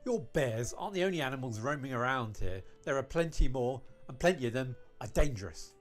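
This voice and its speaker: A man reading scripted visual novel dialogue in character, with a conversational, expressive delivery. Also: medium pitch, brisk, faint music behind.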